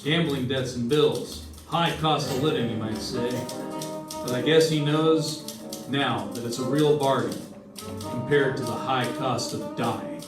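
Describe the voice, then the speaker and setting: A man's voice: medium pitch, measured pace, lightly acted. A man playing a wry, hardboiled investigator, narrating and talking in clipped old-time radio detective style. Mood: wry, dramatic. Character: hardboiled, wry male insurance investigator narrating his case. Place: live stage performance. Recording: echoey live stage, distant mic, music behind. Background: music.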